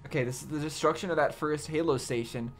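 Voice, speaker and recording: A man giving casual reaction commentary, haltingly thinking aloud as a video host. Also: fairly high pitch, faint music behind.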